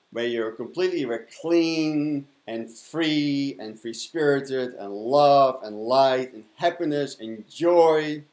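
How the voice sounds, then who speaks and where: A man in his fifties with a Dutch accent gives an effusive spiritual talk to camera, repeating affirming words. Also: mid-range voice. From Netherlands. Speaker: spiritual mentor and broadcast host. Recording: roomy, echoing indoor sound.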